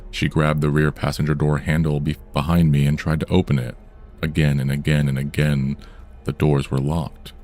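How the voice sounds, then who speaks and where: A man reading true scary stories aloud in a measured, somber storytelling delivery. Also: a bass voice, flat delivery, darkish tone, soft background music. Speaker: horror story narrator. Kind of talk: scripted true-crime horror narration.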